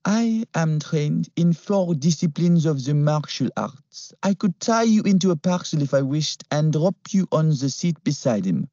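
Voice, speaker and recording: A man's voice: fairly high pitch, lively intonation, measured pace, few pauses, mellow, no echo, lo-fi sound, pitched above the performer's own voice. A man voicing a stern young French woman who gives cold, clipped commands in a French accent. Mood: coldly commanding.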